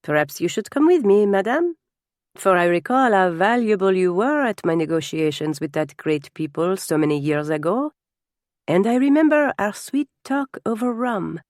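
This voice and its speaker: Woman voicing an effusive French nobleman with lively, lilting pitch. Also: moderate pace, no echo, clean studio sound. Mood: gallant, warm. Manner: effusive, courtly. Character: warm, exuberant, gallant French nobleman and officer. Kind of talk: audiobook character dialogue.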